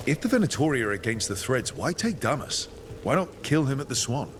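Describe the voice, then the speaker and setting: An actor playing an earnest, lightly wry adventurer in a video game, casual and full of questions. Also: male voice, medium pitch, very animated, fairly quick, slight background noise, lightly acted. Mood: curious, wry.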